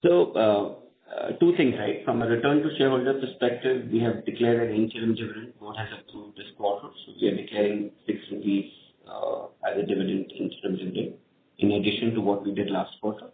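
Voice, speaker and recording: A man with an Indian accent speaking as a company executive, answering questions on an earnings call in measured, hesitant phrasing. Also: fairly low pitch, steady tone. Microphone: conference call line. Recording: tinny phone line, echoey room, faint noise.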